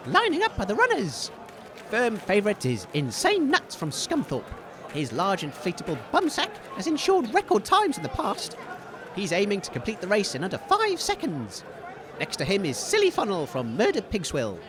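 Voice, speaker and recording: A man playing an excitable, good-natured comic sports commentator, enthusiastic but hesitant and easily flustered. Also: sits high, moderate pace, crowd noise. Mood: cheerful announcing. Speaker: sports commentator and radio co-host.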